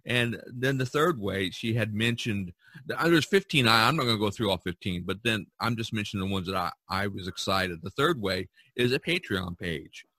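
A man's voice: lowish voice, lively intonation, moderate pace, sharp tone. A man hosting a casual podcast about art, talking in a rambling, enthusiastic way and repeating himself. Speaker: podcast host and artist.

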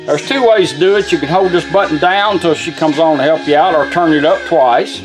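Higher-pitched man, plain-spoken demonstrator. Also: a little fast, music in the background, low-quality recording. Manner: plain-spoken, step-by-step instruction. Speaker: vintage car radio technician demonstrating a product. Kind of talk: informal hands-on product demonstration. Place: small indoor workshop at a bench.

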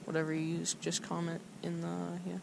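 A man's voice: a little high, normal speed, creaky. Laid-back guy fishing outdoors. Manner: laid-back, easily excited, lots of "man" and "guys". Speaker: fishing vlogger. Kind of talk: casual first-person fishing vlog. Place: outdoors on rocks by a mountain lake. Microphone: head- or chest-mounted action camera. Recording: loud wind and outdoor noise.

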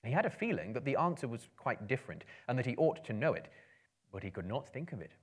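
A man in his thirties reading a story aloud dramatically, in a theatrical, expressive storyteller's manner. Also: mid-range voice, fast, husky. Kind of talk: dramatic book reading to a live audience. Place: indoor room with bookshelves, small live audience.

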